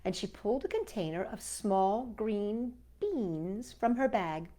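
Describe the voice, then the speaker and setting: A woman's voice: mid-range voice, lively intonation, takes it slowly, mellow. A woman hosting children's storytime, reading picture books aloud with enthusiastic, chatty asides to young viewers.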